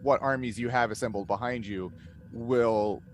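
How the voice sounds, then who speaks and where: Male panelist, discursive and halting, conversational delivery, slightly noisy video-call sound. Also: medium pitch, steady tone. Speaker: cast member and game master. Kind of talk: casual panel chat.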